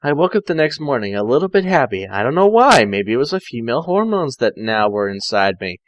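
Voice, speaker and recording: Man reading aloud with very lively pitch. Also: fairly quick, no echo, highs cut off. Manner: theatrical, often stumbling and self-correcting. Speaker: amateur fan fiction reader. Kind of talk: informal dramatic story reading.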